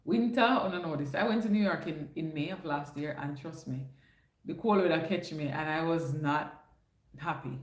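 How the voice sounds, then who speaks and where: A woman's voice: deep voice, moderate pace. A woman with a Jamaican accent hosting a casual livestream, chatting through viewers' questions and slipping into patois phrasing. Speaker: livestream host answering chat. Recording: roomy echo.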